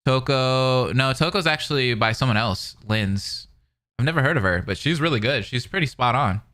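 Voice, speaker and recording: A man reading story narration aloud and breaking into casual, excitable reactions as a commentator. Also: medium pitch, normal speed. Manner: excitable, expressive.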